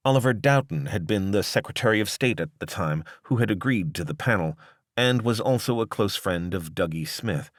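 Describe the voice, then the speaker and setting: A man reading a long-form news article as scripted narration, in a measured, articulate and formal way. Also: fairly low pitch, animated, dry recording, clean studio sound.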